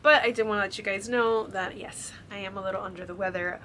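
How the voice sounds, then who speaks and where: A woman's voice: medium pitch, fairly even intonation, fast talker, sharp tone, slight background noise. A young woman chatting casually to camera, her voice stuffy and congested from a cold, filling her talk with ums and likes. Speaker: vlogger showing a shopping haul.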